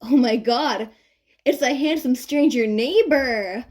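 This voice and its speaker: A woman's voice: high voice, takes it slowly, a little mellow. A woman voicing an eager, flustered young female music student who tries to sound cool. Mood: smitten. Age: young adult. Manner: perky, trying to sound cool.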